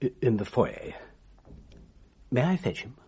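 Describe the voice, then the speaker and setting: A lively, mannered old bachelor gentleman. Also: medium pitch, slightly rough, heavily acted. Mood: earnest. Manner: mannered, precise, theatrical pauses. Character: genteel confirmed old bachelor, fussy, admiring gentleman storyteller. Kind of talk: radio drama acting, storytelling.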